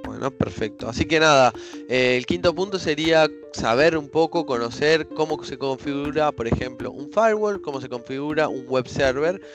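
Relaxed man chatting tech. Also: fairly high pitch, moderate pace, over music. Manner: relaxed, many fillers and restarts. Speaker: co-host. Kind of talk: casual tech chat.

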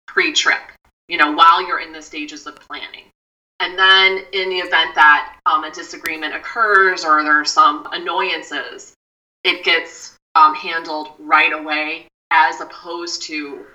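A woman speaking as a mindfulness expert on a podcast, giving measured advice with frequent "you know" asides. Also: low-pitched, bright, forceful tone, recorded from a distance, lo-fi sound. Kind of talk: podcast conversation.

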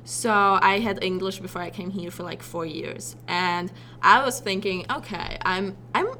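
A woman's voice: fairly low pitch, pushed and bright, a little hiss or hum. Chatty teenage girl. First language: Polish and German. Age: teens. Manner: chatty, many fillers, fast in bursts. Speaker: exchange student. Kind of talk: casual interview.

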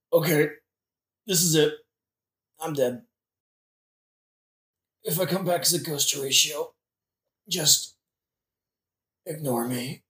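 A man's voice: a little high, a bit bright. A man playing a dying young prince, sarcastic and crude, with slangy, melodramatic delivery in a modern comedic audio play. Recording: roomy, echoing recording.

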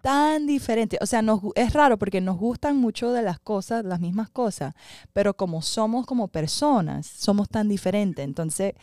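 A woman's voice: medium pitch, moderate pace, no room sound, clean studio sound. A woman co-hosting a casual podcast with her husband, telling their story with lots of laughter and switching between Spanish and English. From New York. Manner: animated storytelling with frequent laughter. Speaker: podcast co-host, wife and mother. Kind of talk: casual chat.

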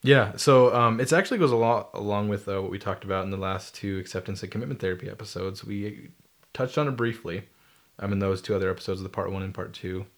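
A man's voice: on the low side, quick pace. A young man co-hosting a casual talk podcast, joking dryly while explaining therapy terms. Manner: joking, dry humor. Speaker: podcast co-host, therapy student. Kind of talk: casual chat.

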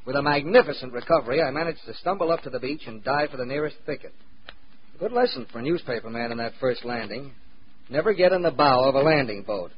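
Actor as dramatic American war correspondent, old radio. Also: male voice, mid-range voice, expressive, close to an everyday voice. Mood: wry, urgent. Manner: dramatic, measured, wry understatement. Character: American war correspondent, wry, plucky, vivid storyteller. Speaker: correspondent narrating his own experiences. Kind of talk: 1940s radio drama narration. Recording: muffled, noisy 1940s radio recording.

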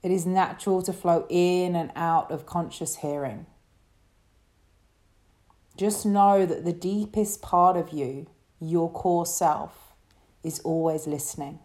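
A woman leading a guided relaxation meditation as a yoga teacher, speaking in a soothing, gentle way. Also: on the low side. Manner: soothing, gentle, unhurried instruction.

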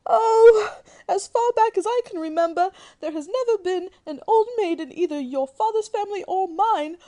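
A woman's voice: very high voice, normal speed, dark tone. A woman playing a domineering, melodramatic, self-pitying middle-aged mother in a radio drama, speaking imperiously. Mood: wailing, self-pitying. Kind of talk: theatrical radio drama acting.

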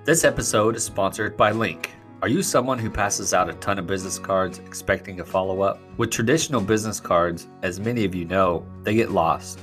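A man reading a scripted sponsor ad with smooth promotional delivery. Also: deep voice, a little fast, hardly any pauses, fairly bright tone. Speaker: ad announcer. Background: faint guitar music.

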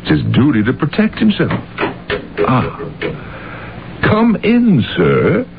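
An actor playing a stubborn, haunted millionaire businessman in his seventies, halting and weary, in an old radio drama. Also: fairly high pitch, wildly swooping pitch, creaky, a little hiss or hum, full character voice. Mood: grimly confident. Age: 70s. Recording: muffled old radio broadcast, music underneath.

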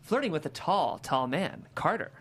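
Lively woman reading screenplay directions. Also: low voice, moderate pace. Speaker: stage-direction reader at a table read. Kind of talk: scripted narration of screenplay directions. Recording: clear background noise and hum.

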